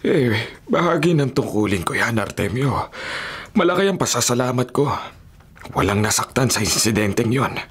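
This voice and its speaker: Dutiful middle-aged mayor, measured and earnest. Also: male voice, medium pitch, expressive, husky. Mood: firm, grateful. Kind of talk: Filipino radio drama acting.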